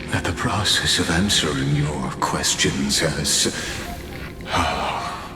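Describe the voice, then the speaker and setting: An actor playing a lofty, bitter scholar mastermind in a game cutscene, speaking in a slow, solemn, measured monologue. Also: male voice, sits low. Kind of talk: video game cutscene voice acting. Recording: echoey hall reverb with music behind.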